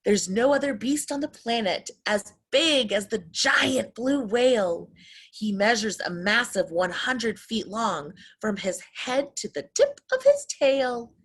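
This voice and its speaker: High, animated woman reading to children. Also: a little slow, husky, rough recording. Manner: exclamatory, expressive, asks the listeners questions. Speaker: children's story reader. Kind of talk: animated picture-book read-aloud to young children.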